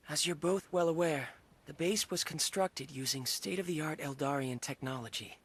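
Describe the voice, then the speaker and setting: Polite, husky, composed alien. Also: male voice, fairly high pitch, a bit bright. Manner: formal, courteous. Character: polite, composed young male alien technologist, proud yet modest. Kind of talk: dramatic video game acting.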